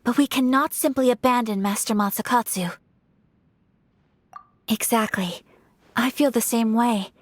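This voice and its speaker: A woman playing a refined, composed young noblewoman in a video game, speaking in a formal, measured, polite way with a soft voice. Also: fairly high pitch, no room sound. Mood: earnest, concerned. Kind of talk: video game dialogue acting.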